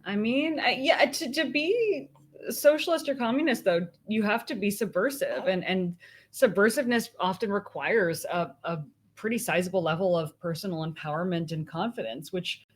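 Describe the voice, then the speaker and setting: A woman co-hosting a podcast, reacting with quick, incredulous remarks and laughing easily. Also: medium pitch. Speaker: podcast co-host. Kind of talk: casual chat.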